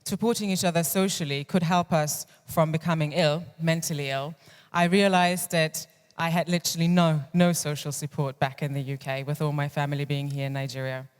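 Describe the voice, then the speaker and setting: A woman's voice: low voice, steady tone, brisk, smooth. A woman in her thirties from Germany whose first language is German, giving a candid personal talk at a conference as a clinical psychologist. She tells her story reflectively and often restarts her sentences. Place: conference hall. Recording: long reverb of a large hall.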